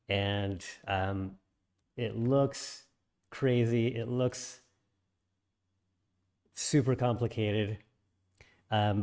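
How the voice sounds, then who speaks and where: A man with a low voice that is slightly raspy after an illness talks through a coding tutorial. He explains step by step with frequent ums and restarts. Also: darkish tone. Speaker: programming instructor. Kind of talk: screen-share coding tutorial.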